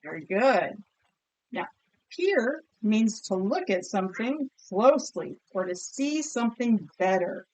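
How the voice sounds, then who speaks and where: A woman's voice: medium pitch, big pitch swings, a little slow, darkish tone, low-quality recording. Woman teacher leading a vocabulary lesson. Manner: encouraging, questioning, enunciates words for pupils to repeat. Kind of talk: read-aloud vocabulary lesson with children.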